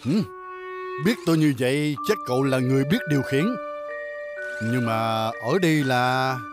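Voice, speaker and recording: A man's voice: medium pitch, normal speed, loud music behind the voice, lightly acted. Solemn veteran robot warrior voiced by a man. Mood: puzzled. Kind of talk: Vietnamese cartoon dubbing.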